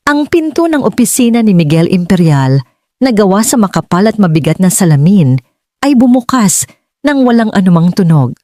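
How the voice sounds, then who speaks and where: A woman's voice: mid-range voice, very animated, moderate pace, warm tone, no echo, studio quality. A woman reading a scripted dramatic story as an audiobook narrator.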